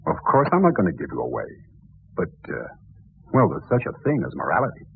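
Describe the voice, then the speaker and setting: A man's voice: low voice, huge pitch swings, fairly quick, vocal fry, husky, narrow, tinny audio, heavily acted. A man playing a suave, businesslike family lawyer with a conscience in an old radio drama. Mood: reproachful, uneasy.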